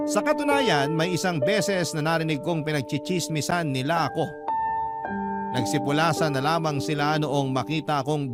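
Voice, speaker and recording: A man with a Filipino accent narrating a scripted first-person radio story in a confiding storytelling way. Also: on the high side. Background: prominent music.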